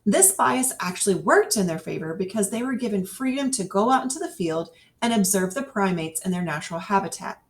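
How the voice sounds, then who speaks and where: A woman reads an educational nonfiction text aloud as a scripted narration, with careful, clearly phrased delivery. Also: medium pitch, expressive, fairly quick, hardly any pauses. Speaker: reader. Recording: distant microphone.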